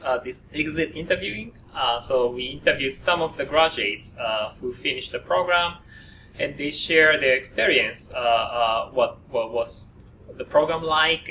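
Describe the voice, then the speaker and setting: Halting man presenting from a distance. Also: medium pitch, sharp tone. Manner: halting, frequent uh fillers. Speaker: program coordinator at an orientation. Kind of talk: presenting to students. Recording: distant mic with faint noise.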